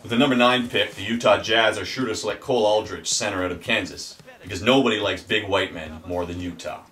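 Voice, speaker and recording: A man giving a wry, deadpan sports commentary, auditioning as a sportscaster. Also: on the low side, fairly quick, distant mic. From Canada. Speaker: aspiring sportscaster.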